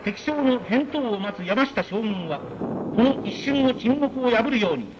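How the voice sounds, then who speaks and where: A man's voice: extremely high pitch, lively intonation, lo-fi sound. Solemn 1940s newsreel narrator, man. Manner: solemn, measured declamatory delivery. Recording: scratchy 1940s newsreel soundtrack.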